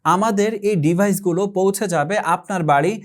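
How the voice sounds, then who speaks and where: Man, high-pitched voice, Bangladeshi accent, scripted advertising voice-over, formal sales delivery, carefully enunciated. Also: fairly quick. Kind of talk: scripted product advertisement narration.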